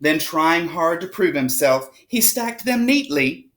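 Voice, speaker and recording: A woman reading a rhyming picture book aloud to children with expressive, dramatic storytelling. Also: fairly low pitch, measured pace. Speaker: storybook reader.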